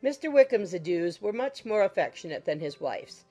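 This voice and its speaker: A woman's voice: medium pitch, very animated, normal speed, warm tone, faint music behind. A woman reading scripted literary narration as an audiobook narrator.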